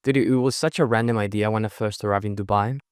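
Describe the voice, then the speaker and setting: A young man whose first language is Spanish, with a mixed Spanish and Australian accent, talking as a podcast guest in an energetic, emphatic way and often ending with "right?". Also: lowish voice, clear, resonant tone, dry recording, clean studio sound. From Chile. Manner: fast, emphatic, leans on "right?" tags. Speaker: podcast guest, networking event organizer. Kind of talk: energetic casual interview talk.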